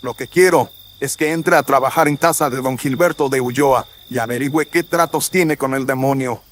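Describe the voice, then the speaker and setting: A man plays a colonial viceroy of mid pitch in a horror radio drama. He is courtly and measured, with polite authority. Faint noise and insects are heard behind the voice. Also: fairly bright tone, lightly acted. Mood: grave, courteous. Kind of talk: audio drama acting.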